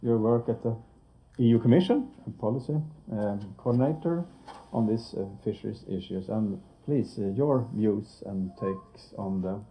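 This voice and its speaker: Dark-voiced man moderating a panel. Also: fairly low pitch, normal speed, low-quality recording. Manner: hesitant with fillers. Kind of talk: introducing panelists.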